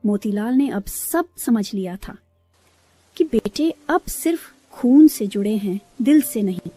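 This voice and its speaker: Female narrator, fairly high voice, mellow tone, scripted moral storytelling, light music underneath. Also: lively intonation, unhurried, breathy edge.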